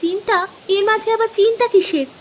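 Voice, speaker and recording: A woman playing a caring, traditional village wife in a cartoon, with a brisk, fond, chiding manner. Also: very high voice, slight background noise. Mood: curious. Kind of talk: cartoon voice acting.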